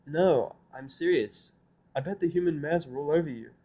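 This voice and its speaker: A man's voice: a little high, moderate pace, mellow, pitched above the performer's own voice, close to an everyday voice. A man doing a giggly, eager young unicorn mare.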